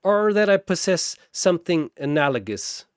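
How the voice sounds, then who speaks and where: A man's voice: on the high side, big pitch swings, normal speed, clear, resonant tone, no echo, studio quality, voice pushed up. A man voicing a polite, analytical android officer who speaks precisely and formally in a science fiction story. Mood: detached curiosity.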